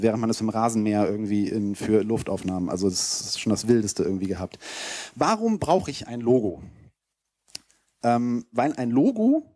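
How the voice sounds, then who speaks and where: Guy doing a casual rambly keynote, normal pitch, handheld mic, pretty dry sound. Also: darkish tone, dry recording. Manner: casual, loose, rambling delivery. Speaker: conference keynote speaker. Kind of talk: informal slide presentation to an audience. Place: lecture hall stage with audience. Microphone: handheld microphone held close.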